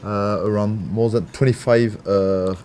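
A man's voice: lowish voice, steady tone, measured pace, dark tone. A man with a French accent, a native French speaker, asking short, direct, teasing questions as an interviewer. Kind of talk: casual, informal interview questions. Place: indoor sports locker room. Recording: noticeable room noise.

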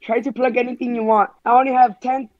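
A woman's voice: on the high side, level tone, quick pace, a little mellow, no echo, dull audio. A woman on a video chat, chatty and eager, offering the host a plug.

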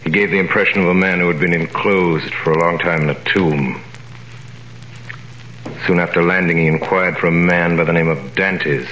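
An actor narrating and playing a grave mysterious stranger in a 1930s radio drama, with a measured, solemn delivery, heard as an echoey vintage broadcast. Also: male voice, low-pitched, fairly bright tone, a little hiss or hum. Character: grave mysterious stranger, the returned Dantes in disguise.

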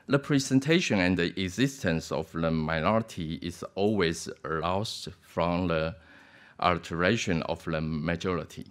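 A man reading a prepared academic paper from a lectern on a theater stage, in a careful, halting delivery. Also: low voice, smooth. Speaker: conference panelist. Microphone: lectern microphone.

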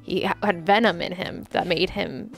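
A woman's voice: on the low side, fairly even intonation, normal speed, creaky, faint music behind. Informal young woman co-hosting reactions. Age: young adult. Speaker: reaction show co-host. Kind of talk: casual reaction chat. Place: small indoor studio. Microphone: headset boom mic.

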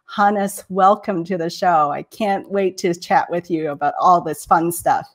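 A woman's voice: fairly low pitch, a little slow. A middle-aged woman hosting an interview show over a video call, bubbly and enthusiastic, laughing often. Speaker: show host. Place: home office, indoors.

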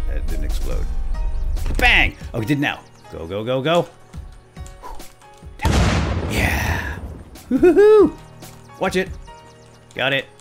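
A man hosting a gaming let's play, giving playful, exuberant commentary as he talks to himself and viewers. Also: mid-range voice. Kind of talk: playful game commentary with light role-play.

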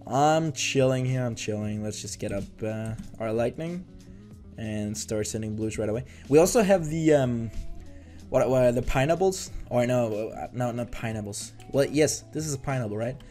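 A young man from Denmark, not a native English speaker, chatting excitedly through casual gameplay commentary. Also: mid-range voice, moderate pace, faint music behind. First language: Danish. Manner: excitable, chatty, trails off and restarts. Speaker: gaming YouTuber.

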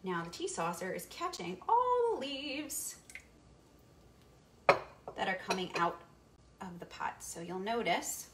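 A woman's voice: mid-range voice, sing-song, slow pace, long pauses, noisy background. A young woman teaching history while she cooks in her home kitchen, chatty and explaining each step. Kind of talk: cooking demonstration with history lesson.

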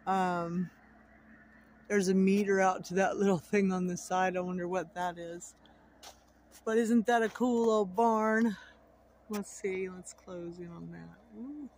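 A woman's voice: mid-range voice, fairly even intonation, takes it slowly, darkish tone, slight background noise. A woman vlogging casually outdoors by a rural winter road, filming on her phone and chatting to viewers as y'all. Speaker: travel vlogger. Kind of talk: casual narrated road trip vlog. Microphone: phone camera held by the filmer.

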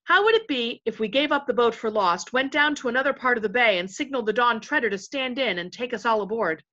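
A woman playing a steady young male king in a storybook, decisive, courtly and commanding. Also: fairly high pitch, quick pace, highs cut off, voice pushed up. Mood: resolute.

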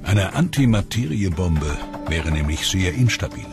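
Low-voiced male documentary narrator over music. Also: sing-song, measured pace.

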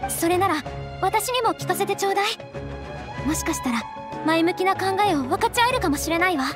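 An actress playing a refined, caring young noble lady in a game, with polite, softly feminine phrasing. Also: very high-pitched, lively intonation, brisk, music in the background. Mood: concerned. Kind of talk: Japanese game voice acting.

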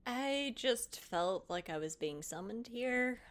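A woman's voice: on the high side, expressive, takes it slowly. A woman playing a tabletop game, speaking casually in character.

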